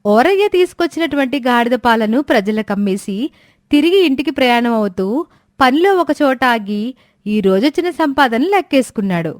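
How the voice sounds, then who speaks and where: A woman's voice: fairly high pitch, animated, moderate pace, dry recording, clean studio sound. A woman storyteller reading scripted narration of a moral fairy tale.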